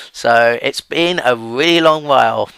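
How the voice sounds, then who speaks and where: A man's voice: medium pitch, creaky, a bit bright, clean tone, no room sound. A young man hosting a let's play with casual, self-deprecating game commentary. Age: young adult. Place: voice-over with game music behind.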